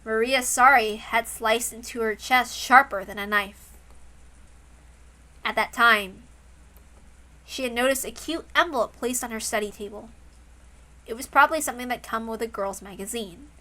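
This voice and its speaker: High, bright-voiced woman narrating a story. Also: moderate pace, pauses often. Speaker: narrator, reader.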